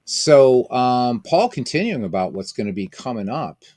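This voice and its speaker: Husky-voiced man chatting on a stream. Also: medium pitch, sing-song, moderate pace, darkish tone, no room sound. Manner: rambling, repeats phrases, chats with viewers by name. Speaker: streamer hosting a baseball sim game. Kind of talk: casual live-stream chat.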